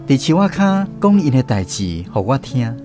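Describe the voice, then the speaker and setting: A male actor with a Taiwanese accent playing a grown man who recounts his boyhood in an animated radio drama narration. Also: mid-range voice, moderate pace, close to an everyday voice. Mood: reflective, storytelling. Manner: animated first-person storytelling. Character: grown man fondly recounting his small-boy adventures. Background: plucked guitar music.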